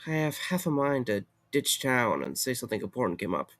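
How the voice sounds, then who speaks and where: A man's voice: mid-range voice, sing-song, brisk, fairly bright tone. A man acting in an audio drama as a weary, wry young man with a casual, sardonic, rambling way of talking. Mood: exasperated.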